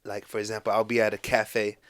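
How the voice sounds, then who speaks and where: A man's voice: fairly low pitch, brisk. Young man telling stories. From Morocco, raised in the United States. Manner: rambling storytelling, heavy fillers, lively voices for quoted people. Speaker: guest. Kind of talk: casual podcast conversation.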